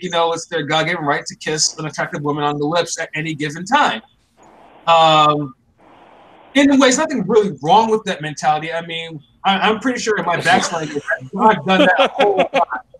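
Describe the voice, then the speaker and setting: A man in a casual podcast chat who talks haltingly, restarting his sentences and joking around. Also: on the high side, a bit bright, a little hiss or hum, poor audio. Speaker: podcast co-host.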